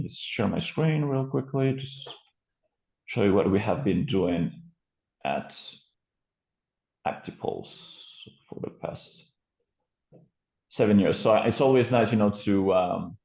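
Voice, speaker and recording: A man with a French accent gives a presentation over a video call, pausing often on fillers and restarting his sentences. His voice sits low and mellow. The audio is dull and muffled, with a roomy echo. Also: gravelly. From France. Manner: hesitant, many fillers and restarts. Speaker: company co-founder presenting.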